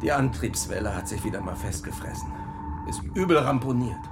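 An actor playing a gruff, loyal, hot-tempered middle-aged submarine commander in a radio drama, blunt in his speech. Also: medium pitch, lively intonation, a little fast, very noisy, lightly acted. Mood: grim.

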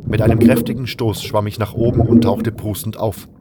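A man's voice: fairly low pitch, level tone, normal speed, very noisy. A man reading scripted first-person narration for a fantasy fan audio drama.

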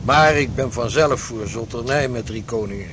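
A man acting in a Dutch radio drama as a prudent, sober senior ship's officer who speaks in a measured, formal way. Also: mid-range voice, close to an everyday voice. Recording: noisy radio drama recording.

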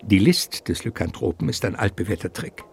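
An actor narrating a mock gothic mystery radio play in a theatrical, savouring, suspenseful literary style. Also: male voice, lowish voice, brisk, vocal fry.

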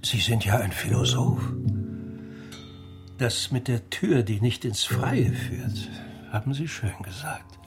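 An actor playing an educated, courteous doctor under suspicion in a radio crime drama, precise and dryly ironic. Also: male voice, mid-range voice, animated, slow pace, long pauses, music in the background. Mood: wry, curious. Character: educated, courteous, wry male doctor, an unemployed suspect.

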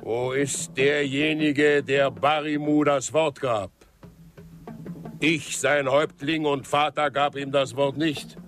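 A man's voice: mid-range voice, fairly even intonation, slow, a bit bright. An actor playing a proud, scornful Comanche chief and father in solemn, declamatory radio drama oratory. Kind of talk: German radio drama acting. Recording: studio radio drama with drum music behind.

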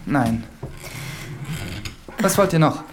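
An actor playing an earnest, troubled village priest who speaks formally in a German radio drama. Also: male voice, on the high side, lively intonation, very slow, background noise. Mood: curt, hurried.

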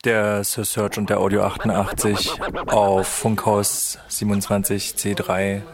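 A playful, talkative man hosts a casual radio show from a studio. His voice sits on the low side, and a steady music bed plays underneath as he chats with his guest. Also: a little slow. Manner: playful, chatty. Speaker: radio host.

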